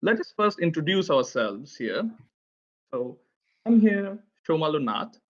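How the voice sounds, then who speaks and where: A man's voice: a little high, big pitch swings, a little slow. Man presenting, lo-fi audio. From India. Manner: friendly, chatty, many filler "uh"s. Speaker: presenter at an online tech session. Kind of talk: conference presentation. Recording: dull, lo-fi online audio.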